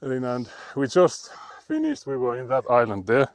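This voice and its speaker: A man's voice: medium pitch, wildly swooping pitch, fairly quick. A man with a Finnish accent, chatting casually and laughing often while live-streaming on a frozen lake. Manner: chatty, laughs often, reads chat aloud.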